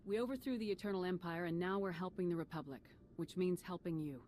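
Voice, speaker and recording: A woman's voice: fairly low pitch, fast talker, darkish tone, a little hiss or hum, natural voice. A woman playing a steadfast military commander in a video game, direct and assured in her delivery. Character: confident, steadfast female military commander hero. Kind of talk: video game cutscene acting.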